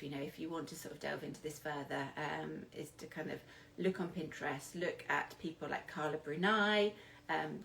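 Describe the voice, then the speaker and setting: A woman's voice: low voice, gravelly, slight background noise, poor audio. A British woman giving an informal style lesson as a coach, speaking hesitantly with self-deprecating, apologetic asides. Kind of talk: informal instructional talk.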